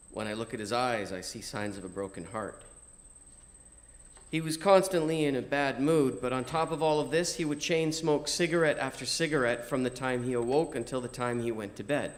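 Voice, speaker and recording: A man reading a first-person story as narrator in a measured, theatrical, declamatory manner. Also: medium pitch. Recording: music behind the voice. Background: music.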